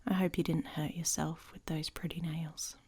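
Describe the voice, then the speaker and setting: Woman acting a low, husky, domineering she-wolf. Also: flat delivery, normal speed, darkish tone. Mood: sarcastic, mocking. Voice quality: husky, breathy. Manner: teasing, sarcastic, commanding, often dropping to a whisper. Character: haughty, domineering female werewolf, reluctant mate, mocking and gruff. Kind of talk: intimate ASMR audio roleplay.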